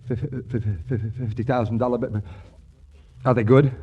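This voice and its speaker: An actor playing an excitable, free-spending young man in 1940s radio comedy, stammering and grandly breezy. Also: medium pitch, moderate pace, breathy edge, dull audio, close to an everyday voice. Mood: flustered, eager. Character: excitable young man frantically squandering a windfall.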